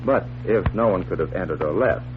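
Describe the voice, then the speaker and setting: An actor playing a curious, genial companion in a 1940s radio drama, asking questions in a clearly declaimed manner. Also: male voice, low voice, animated, fairly quick. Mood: inquisitive. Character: curious, genial male companion prompting a magician detective. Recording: very muffled, noisy 1940s radio recording.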